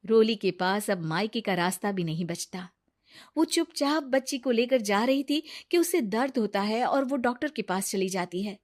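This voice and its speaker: A woman's voice: medium pitch, animated, moderate pace, a little mellow. A woman reading a scripted moral story as narrator.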